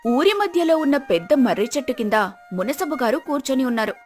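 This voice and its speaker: A woman's voice: high voice, very animated, a little fast, a little mellow. A woman narrating a scripted village moral story as the storyteller. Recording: music behind the voice.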